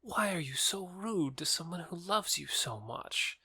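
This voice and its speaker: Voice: mid-range voice, expressive, unhurried, pushed and bright, breathy edge, dry recording, exaggerated voice. An actor playing a lovesick, bitter young man rejected by his beloved, earnest and wounded, in an audio drama. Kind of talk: theatrical audio drama acting.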